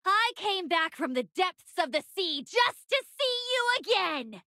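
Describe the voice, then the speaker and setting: Very high female voice, manic sadistic pirate girl, swooping intonation, taunting, vengeful, video game. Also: big pitch swings, a little slow, pushed and bright, dry recording, clean studio sound, exaggerated voice. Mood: manic, gleeful. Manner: taunting, manic, theatrical with cackling delivery. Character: unhinged, vengeful, sadistic pirate younger sister.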